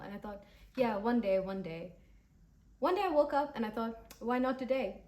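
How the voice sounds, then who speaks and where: A woman in her late twenties from Sri Lanka, talking brightly and directly to her viewers in a scripted get-to-know-me video. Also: medium pitch. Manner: upbeat, lively, addressing viewers directly. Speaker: vlogger. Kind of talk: scripted vlog to camera.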